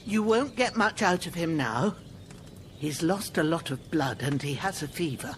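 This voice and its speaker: An actress playing an older village healer, gruff and motherly, talking in folksy rustic speech with a lively, slow delivery. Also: sits low, a little hiss or hum. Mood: matter-of-fact. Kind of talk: video game dialogue.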